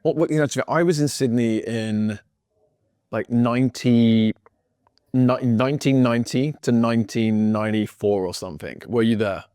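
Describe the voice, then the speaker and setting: A middle-aged man hosts a podcast chat, effusive and quick to joke. He restarts his sentences with repeated words. His voice is middle-pitched and recorded close in a dry room. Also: mellow, clean tone, dry recording. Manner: effusive and jokey, repeats words when he starts. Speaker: podcast host. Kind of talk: casual interview talk.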